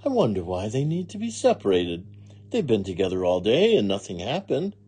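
A man's voice: fairly low pitch, wildly swooping pitch, a little fast, warm tone, breathy edge, exaggerated voice. A man voicing a cheerful, literal-minded woman housekeeper in a children's story, with folksy, earnest phrasing. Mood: musing. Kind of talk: children's storybook character reading.

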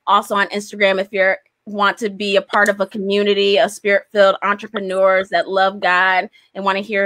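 A woman's voice: mid-range voice, a bit bright, no echo. A woman in her forties talking casually and encouragingly as a guest on a video call, into a desk microphone. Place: small indoor room on a video call. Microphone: large desk microphone, close.

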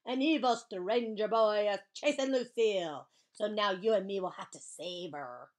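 A woman voicing a chatty, bossy, excitable kindergarten girl who tells her own story in childlike, emphatic speech for a children's audiobook. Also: mid-range voice, normal speed. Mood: bossy, shouting. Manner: childlike grammar, emphatic, dramatic. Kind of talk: first-person children's audiobook narration.

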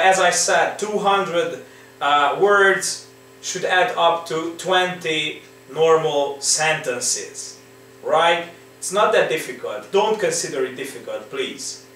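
A man teaches an English exam lesson from his living room, slowly coaxing his students along. His voice sits a little high and he keeps checking in with them. The sound rings through an echoey room, picked up from a distance with faint background noise. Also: fairly bright tone. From Romania. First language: Hungarian. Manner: slow, coaxing, repeats with rhetorical "right?". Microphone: laptop or camera in front.

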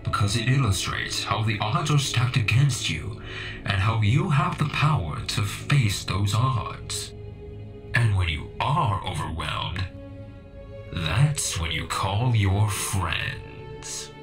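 A man plays an old echidna great-uncle in a cartoon dub, weary and slow. His delivery is deliberate and admonishing, with caring underneath. Music plays clearly behind him. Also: lowish voice, lively intonation. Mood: gently encouraging. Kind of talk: comic dub voice acting.

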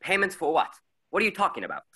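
A man's voice: sits high, wildly swooping pitch, fast, pushed and bright, husky, dry recording, studio quality, heavily acted. A young man acting in a radio play as a harried, exasperated governor on the defensive. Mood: startled, evasive. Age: young adult. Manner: exasperated, emphatic.

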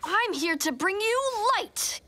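A man's voice: very high-pitched, expressive, takes it slowly, heavy background noise, heavily acted. An actor voicing a nerdy young boy who makes potions and talks science, wordy and a bit nervous. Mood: earnest. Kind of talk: cartoon voice acting. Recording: music behind.

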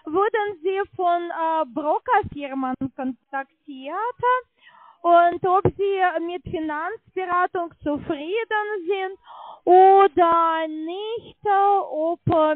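High-pitched woman agent on a phone line. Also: no echo. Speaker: call centre agent doing customer quality calls. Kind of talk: scripted customer survey on a phone call. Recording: tinny narrowband phone line.

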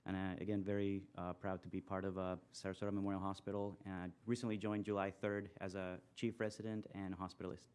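Low-voiced man introducing himself. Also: level tone, fast, few pauses, a little mellow, clear, resonant tone. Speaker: chief resident and hospitalist. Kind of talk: formal self-introduction.

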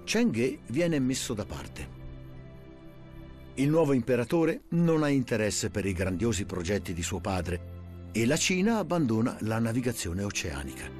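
A man's voice: medium pitch, big pitch swings, measured pace, over music. A man reading scripted documentary narration.

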